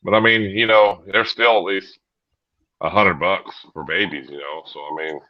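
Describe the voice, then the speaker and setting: A man's voice: deep voice, fairly even intonation, moderate pace. An American man chatting casually as a livestream host in a folksy, loose way. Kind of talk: casual chat. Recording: slightly dull livestream audio.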